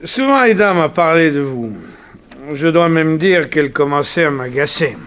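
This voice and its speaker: A dignified, somewhat baffled gentleman in a 1960s French radio drama. His voice sits fairly high for a man, and his speech is formal and a little hesitant. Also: lively intonation, normal speed, slight background noise. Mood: wounded, dignified. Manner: stammering when baffled, formal. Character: bewildered, dignified, jealous wealthy gentleman. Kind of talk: 1960s French radio detective serial acting.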